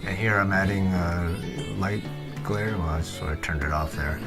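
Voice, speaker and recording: A man with a very low voice narrates a digital art demonstration. He speaks off the cuff, halting and searching for words as he thinks aloud. Guitar music plays clearly behind his voice. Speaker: digital art tutorial presenter. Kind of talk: unscripted software tutorial voice-over.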